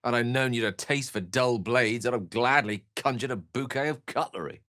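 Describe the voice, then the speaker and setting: A man's voice: mid-range voice, normal speed, sharp tone, dry recording, studio quality, close to an everyday voice. An actor playing an erudite, witty and self-assured wizard in a video game, eloquent and theatrical in his wordy delivery. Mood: wry, teasing. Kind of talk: video game character acting.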